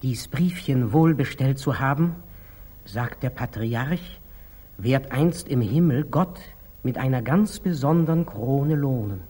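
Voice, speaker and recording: An actor playing a humble, artless monastery lay brother with a hesitant, meek manner in a 1940s German radio drama. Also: male voice, medium pitch, animated, slow pace, mellow, slightly rough. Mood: sly, hesitant.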